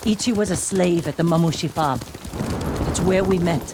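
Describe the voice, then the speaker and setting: A woman's voice: fairly low pitch, normal speed, background noise, lightly acted. A woman playing a tough, driven thief in a video game, with a terse and earnest delivery. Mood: pained urgency. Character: tough, driven female thief carrying guilt. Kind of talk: dramatic video game acting.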